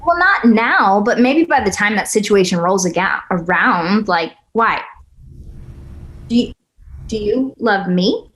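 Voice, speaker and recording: Wry, unhurried geeky girlfriend, acted by a woman. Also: mid-range voice, animated, a bit bright. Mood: hesitant. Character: wry, geeky female love interest. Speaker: actor in a table read. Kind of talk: conversational script reading.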